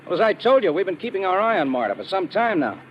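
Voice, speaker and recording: Fast-talking American lieutenant, lively pitch. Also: male voice. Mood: explaining, assured. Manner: clipped, businesslike, assured. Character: matter-of-fact male American army police lieutenant. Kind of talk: 1950s radio detective drama acting. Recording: muffled, noisy old radio recording.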